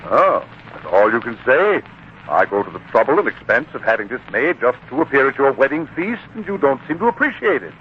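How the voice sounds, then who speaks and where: An actor playing a proud, petty, easily offended employer with a pompous, indignant manner in a 1940s radio drama. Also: male voice, medium pitch, big pitch swings, fairly quick, lightly acted. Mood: puzzled, peeved. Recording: tinny, noisy 1940s radio broadcast.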